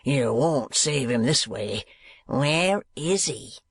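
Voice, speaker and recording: A man voicing a cruel, blustering middle-aged schoolmaster who sneers and bullies in a slow, menacing way. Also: mid-range voice, animated, slightly rough, dry recording, heavily acted. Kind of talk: audiobook character voice.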